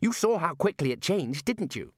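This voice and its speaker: A man's voice: on the high side, big pitch swings, normal speed, no echo, studio quality, voice pushed up. A man voicing an earnest young wizard scholar who talks in a strained, fussy way. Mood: exasperated.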